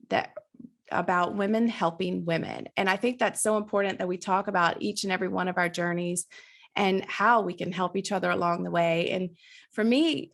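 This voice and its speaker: A woman hosting a podcast interview, enthusiastic and effusive, a little nasal and raspy from allergies. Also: on the low side. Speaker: podcast host. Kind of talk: conversational interview.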